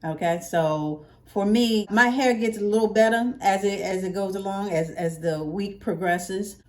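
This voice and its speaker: A woman's voice: mid-range voice, measured pace. A woman hosting her own vlog, chatting casually to her viewers and playfully stretching out catchphrases for emphasis. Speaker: hair vlogger hosting her own channel.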